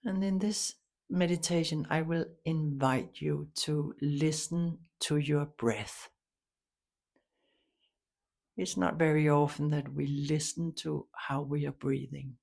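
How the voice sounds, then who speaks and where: Calm lady doing guided meditation, really slow w long pauses, bit of vocal fry. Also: low-pitched. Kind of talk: guided meditation, soft instruction.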